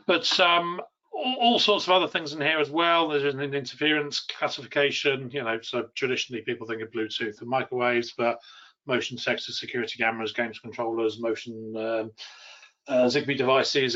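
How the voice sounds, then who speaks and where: A man's voice: mid-range voice, bright, forceful tone. Man demonstrating tech, dull lo-fi audio. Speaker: product demonstrator and technical trainer. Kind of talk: informal live technical product demo.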